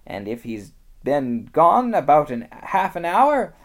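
A reader voicing a practical, motherly female beaver, speaking in a high, bustling, brisk way. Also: big pitch swings.

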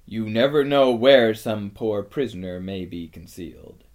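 A man voicing a great lion leader in a storybook, hearty, kindly and commanding, calling out loudly. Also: on the low side, expressive, measured pace. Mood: brisk, commanding. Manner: loud, hearty, roaring commands.

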